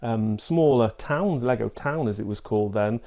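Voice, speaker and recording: A man in his fifties with a soft-edged, mellow voice and a North East English accent. He chats casually in an interview, looking back over his life at an ordinary male pitch. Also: normal speed. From Newcastle upon Tyne, England. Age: 50s. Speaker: interview guest, YouTuber.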